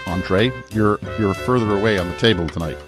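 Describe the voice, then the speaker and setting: A man's voice: on the low side, fairly quick, music in the background. A man co-hosting a podcast in casual chat, teasing and asking pointed questions. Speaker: podcast co-host.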